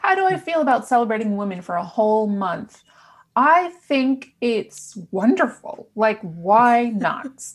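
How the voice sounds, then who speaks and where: A woman's voice: fairly high pitch, sing-song, measured pace. A woman from New York with a transatlantic New York accent, a podcast guest who is upbeat and laughs often. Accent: New York American, transatlantic. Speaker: guest, business owner and coach. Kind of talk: casual podcast interview.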